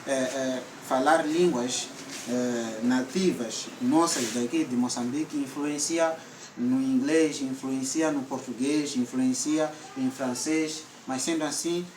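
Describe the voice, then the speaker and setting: A man's voice: mid-range voice, takes it slowly, a little mellow, reverberant, distant mic, slight background noise, poor audio. A man from Mozambique with a central Mozambican accent, hosting a casual vlog chat and switching between English and Portuguese with many fillers. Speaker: vlog host.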